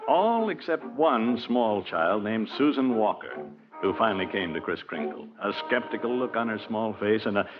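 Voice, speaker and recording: An actor narrating as a wry, folksy storyteller in a 1940s radio drama. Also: male voice, lowish voice, sing-song, moderate pace. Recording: muffled vintage radio, music underneath. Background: music.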